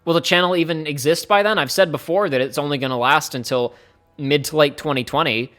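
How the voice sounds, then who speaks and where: A young male streamer with a slightly high voice chats to his viewers off the cuff. He rambles and thinks aloud, sometimes rushing ahead and sometimes trailing off to a mutter. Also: fairly bright tone, soft background music. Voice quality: fairly high-pitched voice. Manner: rambling, candid, speeds up and trails off. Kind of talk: casual live-stream talk to chat.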